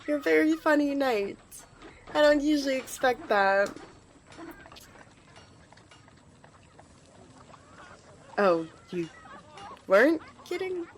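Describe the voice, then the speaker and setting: A woman's voice: sits high, exaggerated voice. Earnest, chatty squire voiced by a woman, noisy ambience. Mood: amused, flustered. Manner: hesitant, rambling, earnest, reassuring. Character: eager, earnest, nervously chatty young squire with magic. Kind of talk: ASMR roleplay addressed to the listener.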